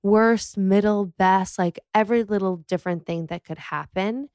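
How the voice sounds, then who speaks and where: A woman's voice: medium pitch, normal speed, darkish tone, clean tone, dry recording, clean studio sound. A woman in her thirties hosting a casual solo podcast, talking in a reflective, easygoing way, a bit congested from a cold. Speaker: podcast host. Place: dim bedroom.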